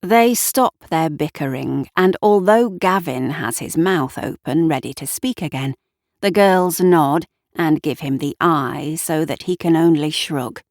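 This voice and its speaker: A woman reads scripted fiction at a very slow pace. Her voice sits a little low and is recorded dry, and she lingers over her phrases in a hushed, lyrical storytelling manner. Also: no echo. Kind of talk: scripted dramatic narration.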